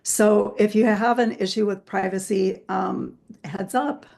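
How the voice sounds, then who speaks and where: A woman therapist hosting an online interview. She talks in a friendly, chatty way with frequent "um" pauses, over a video call. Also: mid-range voice, steady tone, gravelly, a little mellow. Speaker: host and therapist. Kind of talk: casual webinar introduction.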